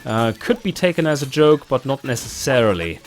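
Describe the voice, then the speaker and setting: A man's voice: medium pitch, big pitch swings, brisk. A man with a German accent answering viewer questions on his channel, speaking in a precise, carefully articulated and projecting way. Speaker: YouTuber and game developer.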